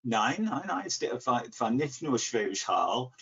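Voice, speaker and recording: An English man in his sixties with an English accent, answering interview questions haltingly as he searches for words in a second language. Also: mid-range voice, very animated, bright, forceful tone, husky. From England, near Liverpool. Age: 60s. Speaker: interview guest, language learner. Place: living room at home. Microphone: computer mic on video call. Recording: dull, lo-fi video call.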